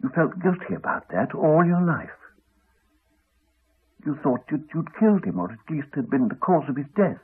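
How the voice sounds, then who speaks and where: An actor playing an earnest, wry, reflective doctor in a 1980s radio drama. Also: male voice, on the high side, big pitch swings, moderate pace, husky. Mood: gentle, probing. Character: earnest male doctor, reassuring, wry, later weary and dying. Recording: muffled, narrow-band 1980s radio broadcast.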